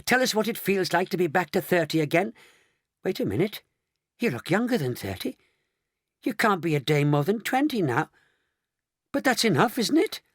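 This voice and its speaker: An excitable old grandad from a storybook, acted by a man. He exclaims in a high, flustered voice that leaps about. The sound is dry. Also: normal speed, pauses often, husky, dry recording, exaggerated voice. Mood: excited.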